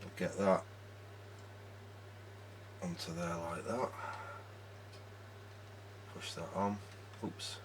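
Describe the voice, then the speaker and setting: A man's voice: low voice, level tone, extremely slow, long pauses, noisy background. A man giving casual, hands-on repair commentary, muttering informally step by step as he works. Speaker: hobbyist electronics repairer and presenter.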